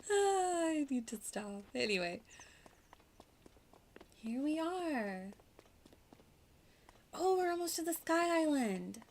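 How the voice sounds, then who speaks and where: A woman livestreaming a video game with casual commentary, in a playful, gushing and informal manner. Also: fairly high pitch. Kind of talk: casual live gameplay commentary. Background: music.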